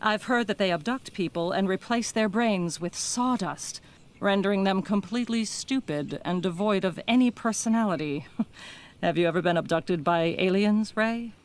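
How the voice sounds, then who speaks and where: A woman's voice: medium pitch, moderate pace, natural voice. A woman voicing a gullible, teasing cartoon cat news anchor with a polished presenter delivery. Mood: sly teasing. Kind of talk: cartoon news broadcast parody.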